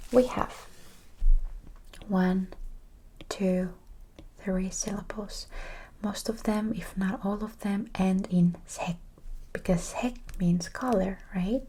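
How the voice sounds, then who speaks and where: Lady doing asmr language teacher thing, whispery n breathy, super slow, encouraging, bit of noise. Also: medium pitch, warm tone. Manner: slow, encouraging, repeating words syllable by syllable.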